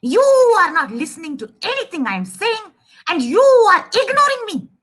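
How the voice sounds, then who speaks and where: A woman storyteller acts out a tiny, angry male mouse from a children's storybook. The voice is very high, sharp and swinging in pitch. It scolds with wounded indignation, sputtering and repeating itself. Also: huge pitch swings, normal speed, heavily acted. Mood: exasperated. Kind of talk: children's storybook read-aloud.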